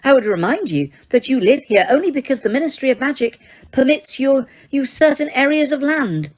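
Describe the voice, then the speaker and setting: Lady doing a squeaky prissy bossy witch, high and shrill, stiff officialese. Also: animated, brisk. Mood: prim. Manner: haughty, clipped officialese turning to shrieks. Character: prim, officious middle-aged witch, shrill and easily frightened.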